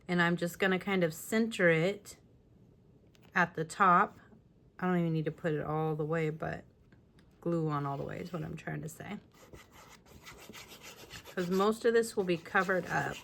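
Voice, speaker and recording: A woman with a lingering raspy voice talking casually through a scrapbooking tutorial at home, with chatty, apologetic asides while she works. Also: fairly low pitch, steady tone, normal speed, long pauses, fairly bright tone. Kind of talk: casual crafting tutorial talk. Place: home craft room. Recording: faint room noise from fan. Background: fan running.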